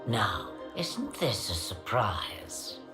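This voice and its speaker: A woman's voice: rumbling low, wildly swooping pitch, extremely slow, heavily acted. An actress playing a sly, imperious old witch and grandmother in a fantasy game, with wry, archaic, theatrical phrasing. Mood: mocking, amused. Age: older. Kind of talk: dramatic fantasy game acting. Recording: echoey, music behind.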